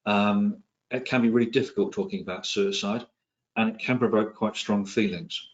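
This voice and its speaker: A middle-aged man from England, his accent softened by years in New Zealand, giving an online talk as a psychiatrist, with many ums, restarts and dry asides. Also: fairly low pitch, fairly even intonation, moderate pace, dull audio. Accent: English, with some New Zealand influence. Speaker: psychiatrist and presenter. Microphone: video call.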